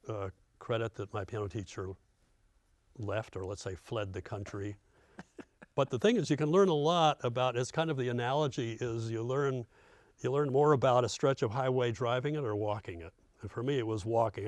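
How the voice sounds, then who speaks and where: A man's voice: fairly low pitch, dry recording. An older American man, a veteran radio host, reminisces casually with a dry, self-deprecating humour. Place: radio studio.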